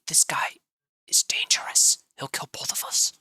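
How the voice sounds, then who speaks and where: A man's voice: normal speed, bright, forceful tone, dry recording, studio quality. A man voicing a jittery, frightened stranger who whispers urgent warnings in a tabletop game. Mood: scared hush.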